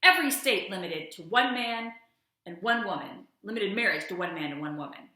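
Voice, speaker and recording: A woman's voice: medium pitch, animated, normal speed, pushed and bright. A woman in her thirties giving a theatrical, emphatic dramatic reading of formal legal text into a camera microphone at home. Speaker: host of a video channel, reader. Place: room at home beside a stairwell, indoors. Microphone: camera or laptop microphone at about arm's length. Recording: very echoey home room.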